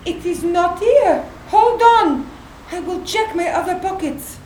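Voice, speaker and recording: An actress plays a naive, powerful woman with a very high voice and slow, stilted, over-literal phrasing. She has a vengeful temper, in a dramatic sketch. The sound is echoey. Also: darkish tone. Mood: anxious. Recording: echoey room with faint background noise.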